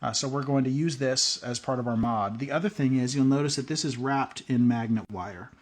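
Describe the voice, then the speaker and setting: A man talks through a workbench project in a relaxed, rambling tutorial voice, scattering "uh" and "um" as he goes. His voice sits in an ordinary middle pitch. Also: a little fast. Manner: loose and rambling, many "uh" and "um". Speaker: prepping channel host. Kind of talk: casual hands-on tutorial.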